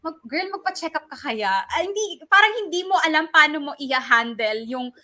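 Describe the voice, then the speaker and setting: Filipino female radio co-host, high and bright, conversational and expressive, offering commentary to listeners. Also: moderate pace. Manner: expressive, conversational, code-switching between two languages. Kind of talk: casual advice talk, giving commentary to listeners.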